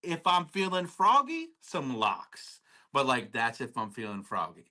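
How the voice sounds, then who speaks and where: A man's voice: medium pitch, wildly swooping pitch, a little slow, sharp tone. A man co-hosting a casual music podcast, talking in a rambling, slangy way and calling his partner "baby". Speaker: podcast co-host. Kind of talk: casual chat.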